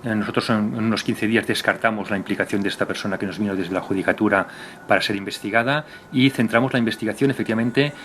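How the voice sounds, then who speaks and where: A man recalling police work in an interview, halting and circling back to rephrase. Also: lowish voice, steady tone, a bit bright, a little hiss or hum. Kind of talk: interview recollection.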